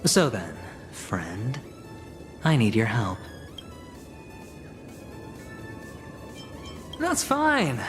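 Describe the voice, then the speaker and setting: An actor playing a smooth, scheming young male negotiator in video game story dialogue, suave, theatrical and persuasive. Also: medium pitch, wildly swooping pitch, takes it slowly, fairly bright tone, heavily acted. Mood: persuasive, calculating. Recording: game music behind the voice.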